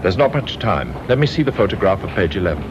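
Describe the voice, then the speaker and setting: A man playing a brisk, cynical kidnapper in a radio drama, curt and drily mocking. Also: low-pitched, sing-song. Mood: coolly businesslike. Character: brisk, cynical male kidnapper, coolly businesslike. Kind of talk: British radio drama acting. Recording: dull recording with loud railway station noise.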